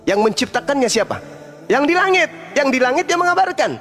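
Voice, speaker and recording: A man debating religion as a preacher, pressing with rhetorical questions and swinging from low murmurs to loud emphasis. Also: expressive, brisk, faint music behind.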